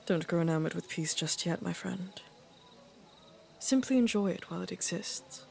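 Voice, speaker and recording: Casting: actress as a world-weary, middle-aged queen for fantasy audio drama. Gravelly fry, regal measured delivery, dry fond wit. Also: on the low side. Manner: stately, measured, wry.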